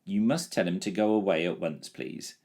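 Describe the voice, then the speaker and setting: A man's voice: low voice, normal speed, a little mellow. A man voicing a timid, lazy male dragon in a storybook reading, with a drawling, plaintive manner.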